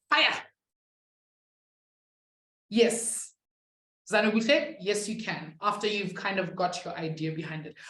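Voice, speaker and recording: A woman's voice: a little high, roomy sound, poor audio. A young woman who is not a native English speaker, teaching a live online maths class from a webcam and chatting with students. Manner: chatty, reads out student chat, laughs at herself.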